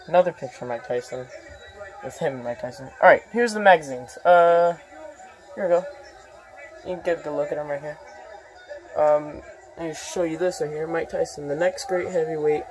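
Vlogger, phone tour narration, off screen, unhurried, filler-heavy, indoor room. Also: mid-range voice, a little mellow, poor audio. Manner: unhurried, lists items, many ums and uhs. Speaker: vlogger giving a home tour. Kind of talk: casual walkthrough narration. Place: indoor room full of posters and memorabilia.

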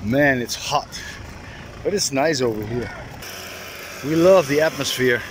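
A man's voice: animated, a little slow. Middle-aged man vlogging casually over outdoor noise. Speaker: sailing vlogger. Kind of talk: casual vlog chat and DIY explanation. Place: outdoors at a harbor boatyard and on a boat deck. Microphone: handheld camera at arm's length. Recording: noisy outdoor recording with ambient rumble.

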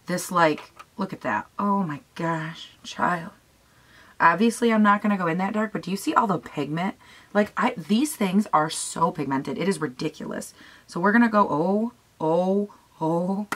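A young woman with a raspy voice chatting casually through a makeup tutorial at home, gushing and talking to herself as she goes. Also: lowish voice, normal speed. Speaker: beauty vlogger. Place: small room at home, indoors.

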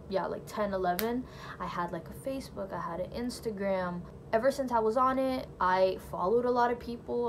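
A young woman whose first language is Portuguese tells her personal testimony to camera from her bedroom. She rambles casually, full of fillers, at a middling pitch. Faint background noise sits under the close phone mic. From Brazilian family. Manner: chatty, lots of "like" and "you know", laughs lightly. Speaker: vlogger sharing her faith testimony. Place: small bedroom, indoors. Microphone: phone or camera close in front.

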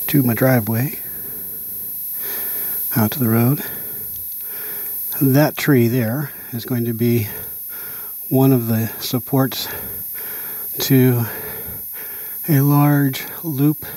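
A man with a slightly husky voice gives a casual, unscripted walkaround demonstration as a hobbyist, explaining things in pieces with pauses mid-phrase. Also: medium pitch, a little mellow, no echo. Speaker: amateur radio hobbyist vlogger. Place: outdoors in a house yard and driveway.

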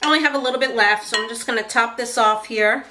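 A woman's voice: on the high side, fast talker, background noise. A woman in her thirties giving a friendly step-by-step cooking demonstration in her home kitchen. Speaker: home cook.

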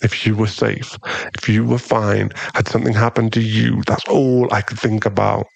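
A man acting as a mafia boss lover in an ASMR audio drama. His voice is fairly low and full of gravelly fry, recorded close and dry. He is brooding and protective, murmuring intimately. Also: level tone, normal speed, no echo. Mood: vulnerable, confessing. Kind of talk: ASMR boyfriend roleplay.

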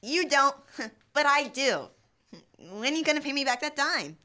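A cheeky little boy in an old-time radio comedy, played by a teenage male. The voice is very high and bright, with the innocent needling of a kid brother. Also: lively intonation, measured pace, heavily acted. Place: school library, before a small live cast. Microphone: standing stage microphone.

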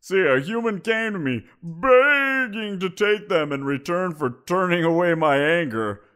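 A man's voice: sits high, animated, a little slow, fairly bright tone, clear, resonant tone. A man voicing a giant wolf creature in an audio roleplay, teasing and amused, chuckling as he talks. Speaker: voice actor.

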